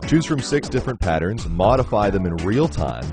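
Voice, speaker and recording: Deep-voiced man narrating a product demo. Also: very animated, normal speed. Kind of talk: scripted promotional narration. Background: synthesizer music.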